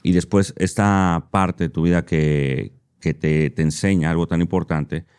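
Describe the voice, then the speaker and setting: A man hosting an interview who asks long, halting questions full of restarts. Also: deep voice, steady tone, a little mellow, clean tone. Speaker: interview host. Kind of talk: conversational interview.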